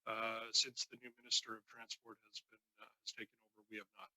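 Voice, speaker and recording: Bright, halting man testifying. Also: fairly low pitch, flat delivery, husky, dry recording, low-quality recording. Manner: halting, many false starts. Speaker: union president, committee witness. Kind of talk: formal testimony answering questions.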